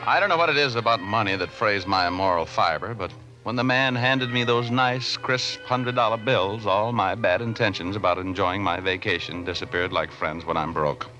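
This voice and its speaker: A man's voice: on the low side, moderate pace, sharp tone, lo-fi sound. A man playing a cocky, flirtatious private detective in 1940s radio drama style, wisecracking in slangy banter and narration. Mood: wry narration. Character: wry, hard-boiled male private detective, flirtatious and cocky. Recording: vintage radio broadcast with music.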